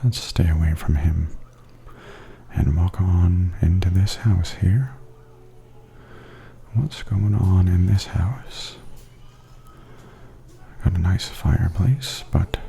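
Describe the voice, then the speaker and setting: A man giving softly spoken, gently wry ASMR commentary as a tour guide, in a hushed, breathy half-whisper. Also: low-pitched, a little hiss or hum. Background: theme park haunted attraction ambience.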